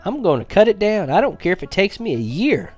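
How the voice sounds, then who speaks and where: A man's voice: mid-range voice, normal speed, vocal fry, faint music behind, pitched above the performer's own voice, close to an everyday voice. A man reading aloud and voicing a determined young farm boy who pleads earnestly. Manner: earnest, pleading, raises voice when insistent.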